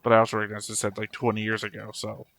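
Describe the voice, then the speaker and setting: Low, even-toned man reacting casually. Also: rapid-fire, gravelly. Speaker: reaction host. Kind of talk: casual off-the-cuff commentary.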